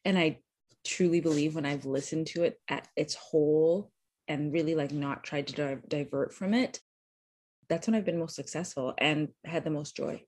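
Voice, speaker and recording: Woman, low husky voice, chatty podcast guest, lots of likes and restarts. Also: normal speed, a little mellow. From Canada. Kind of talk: casual interview chat.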